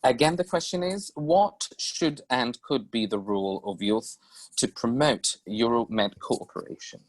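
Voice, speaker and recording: A man moderating a video-call forum, speaking haltingly with many fillers and repeating his questions. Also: mid-range voice. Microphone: computer mic on video call.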